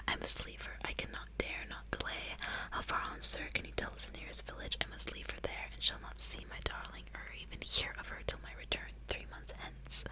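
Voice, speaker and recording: A woman's voice: fairly quick, lightly acted, low-quality recording. Whispered distraught noble mother read by a woman. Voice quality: breathy whisper. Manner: formal, dramatic. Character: theatrical, distraught aristocratic older mother.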